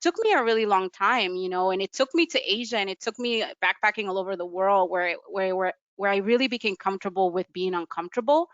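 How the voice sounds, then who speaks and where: A woman's voice: mid-range voice, fast talker, hardly any pauses, fairly bright tone, no room sound. A woman from the United States, earnestly reflecting on her own experience as a panelist over a video call. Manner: earnest, reflective, loose with fillers. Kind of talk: personal account on a panel. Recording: dull lo-fi video call audio.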